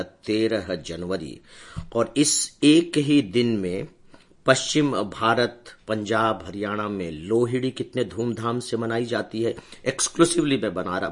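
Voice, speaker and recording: An older man with a hoarse voice giving a measured, warm motivational talk to his students. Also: fairly low pitch. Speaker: educator addressing students.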